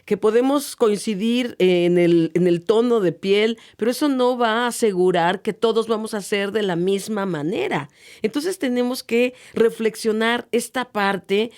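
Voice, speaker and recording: A woman hosting an interview program, framing questions in a measured, presenter-like manner. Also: a little high, a little mellow. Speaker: host.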